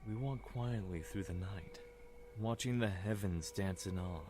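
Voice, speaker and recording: A man's voice: low voice, a little slow, background noise. A man acting a wry, reflective Egyptian prince who narrates his past in an audio drama. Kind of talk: scripted first-person narration. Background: music.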